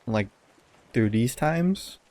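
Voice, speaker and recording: A man's voice: medium pitch, animated, drawn-out words, darkish tone, no room sound. A young man co-hosting a podcast in an informal, slightly sardonic conversational style. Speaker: podcast co-host. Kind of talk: casual podcast chat.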